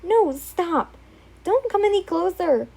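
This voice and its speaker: A woman's voice: very high voice, expressive, slow, vocal fry, a little mellow, voice pushed up, full character voice. A woman voicing a young woman who speaks in a firm, insistent way. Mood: alarmed.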